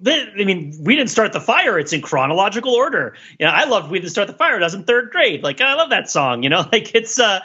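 A man's voice: sits high, very animated, a little fast, hardly any pauses, bright, forceful tone, breathy edge. A middle-aged man co-hosting a podcast, chatting in a rambling, wry way on slightly lo-fi audio. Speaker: podcast co-host. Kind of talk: casual comic-analytical chat.

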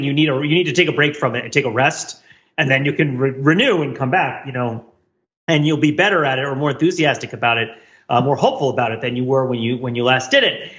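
A man's voice: a little high, a bit bright, dull audio. A man co-hosting a casual podcast, thinking aloud in an analytical, discursive way. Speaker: podcast co-host. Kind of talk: casual panel chat.

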